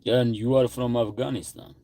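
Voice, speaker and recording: A man's voice: mid-range voice, steady tone, normal speed, warm tone, dry recording. A man hosting a casual livestream, greeting viewers by name and answering their comments aloud. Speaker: livestream host.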